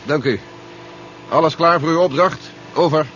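A man's voice: a little high, moderate pace, fairly bright tone, natural voice. A man plays a stern general weighed down by worry. He speaks seriously and with clipped authority. It sounds like a dull, noisy seventies radio drama recording. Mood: curt, commanding. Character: General Stevens, stern worried military man. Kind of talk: 1970s Dutch radio drama acting.

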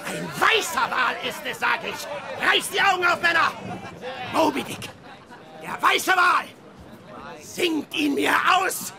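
An actor playing an obsessed, tyrannical old whaling captain in a radio drama, with commanding, theatrical oratory. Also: male voice, very high voice, takes it slowly, creaky, pauses often, raised voice, a crowd in the background, full character voice. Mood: rousing, fervent.

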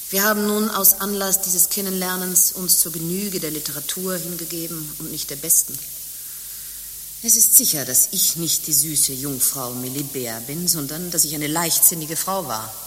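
An actress playing a bitter, world-weary former harlot turned nun in a radio play, with theatrical speech both ornate and coarse. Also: female voice, deep voice, a bit bright. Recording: hissy recording with long reverb.

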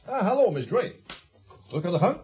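A man acting in a Dutch radio play as an earnest young estate agent, wry and animated, hesitant when challenged. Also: high voice, moderate pace, dull audio, slight background noise. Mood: wry, bantering. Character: earnest young estate agent, cheeky but meek before his boss.

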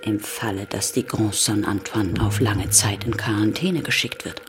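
Grave woman storyteller, evenly pitched. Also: steady tone, moderate pace. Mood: measured, grave. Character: grave storyteller chronicling a plague. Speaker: narrator. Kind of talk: scripted radio play narration in literary German. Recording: music behind the voice. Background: music.

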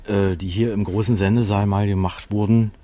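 A man's voice: fairly low pitch, fairly even intonation, normal speed, mellow. A man showing someone around an archive, talking informally and explaining as he goes.

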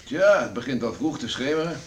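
Radio drama: hot-tempered island father, middle-aged, male actor, gruff, very animated pitch, slightly hissy old recording. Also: close to an everyday voice. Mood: grumbling, wary. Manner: gruff, grumbling, quick to flare up.

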